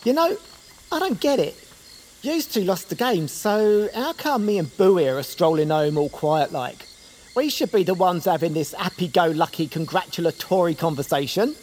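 Woman as grumbling cartoon rival, low pitch, lively swings, streetwise slang, awkward, faint night ambience. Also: moderate pace, slight background noise, close to an everyday voice. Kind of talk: cartoonish audio drama acting.

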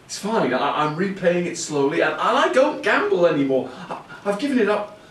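An actor playing an earnest, halting brother who confesses and pleads with his sisters in an audio play. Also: high voice, expressive, fairly bright tone, recorded from a distance. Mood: earnest, confessing. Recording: roomy, off-mic, faint background noise.

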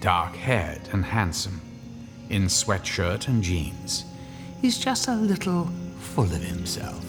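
A man narrating a horror radio drama as a suave storyteller, in a theatrical, measured way with weighty pauses. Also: low voice, wildly swooping pitch, music in the background, heavily acted. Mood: dramatic, wry.

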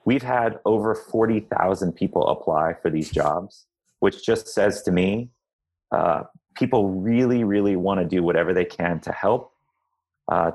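A man giving explanatory interview answers as a public health guest, in a measured, halting manner that searches for words. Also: low-pitched, a little mellow, slightly rough.

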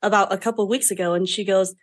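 A woman's voice: medium pitch, fairly even intonation, very fast. A woman in her thirties from Vietnam, speaking English with a Vietnamese accent, candid and chatty as an interview guest, with fillers and restarts. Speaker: guest, lash business owner. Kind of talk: casual interview storytelling.

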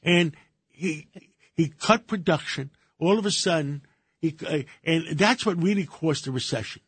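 An older man born in Greece, speaking English as his second language, talks through a radio segment in halting bursts. He stops and restarts often, his voice sitting a bit high. The sound is dry and close. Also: a bit bright, slightly rough, no room sound. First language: Greek. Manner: halting, many fillers and restarts. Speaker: radio show co-host. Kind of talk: casual radio talk.

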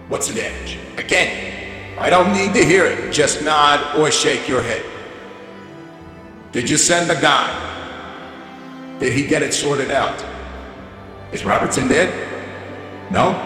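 A man's voice: a little high, sharp tone, heavy reverb, big-room sound. An actor playing a crude, irritable male corporate CEO who swears his way through a rambling holiday address. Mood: exasperated.

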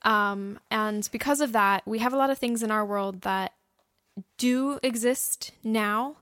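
A woman's voice: on the high side, unhurried, fairly bright tone, dry recording, studio quality. A woman co-hosting a podcast, explaining things casually and chattily with plenty of examples. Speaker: podcast host and audio drama writer. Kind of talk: casual explanatory talk with co-host.

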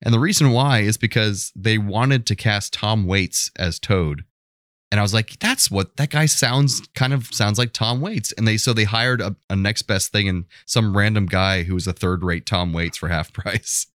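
A man's voice: lowish voice, normal speed, fairly bright tone, dry recording, studio quality. A man co-hosting a podcast, talking casually about films in an informal, amused way. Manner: informal, amused, often chuckling as he talks. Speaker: podcast co-host. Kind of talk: casual film discussion.

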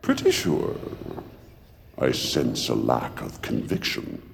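A man's voice: extremely low pitch, huge pitch swings, slow, gravelly, background noise, exaggerated voice. An actor playing an ancient, pompous male monster villain in an audio drama, theatrical and taunting. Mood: mocking. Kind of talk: audio fiction acting.

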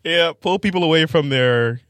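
Relaxed man laughing through chat. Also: on the high side, moderate pace, no room sound. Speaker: podcast host. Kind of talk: casual podcast banter.

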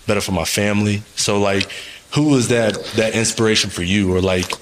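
A man hosting a podcast in relaxed, casual chat, filling his questions with "like" and "you know.". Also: lowish voice, brisk. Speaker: podcast host. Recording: roomy reverb with faint noise.

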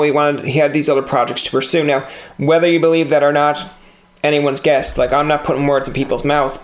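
Male podcast host, Newfoundland accent, fairly high voice, playful teasing. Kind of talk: casual podcast interview.

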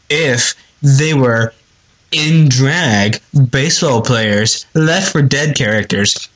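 A man's voice: medium pitch, fairly bright tone, poor audio. A man leaving a rambling listener message for a show.

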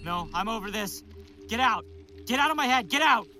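A man's voice: extremely high pitch, lively intonation, moderate pace, noisy background. A man playing a drug researcher who is losing control of his mind, stammering and pleading in an audio drama. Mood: disoriented, alarmed. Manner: stammering, panicky, breathless pleading. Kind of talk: audio fiction acting.

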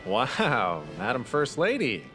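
An actor playing an eager, needling newspaper interviewer in an audio drama. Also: male voice, mid-range voice, huge pitch swings, slow, sharp tone, faint music behind, exaggerated voice.

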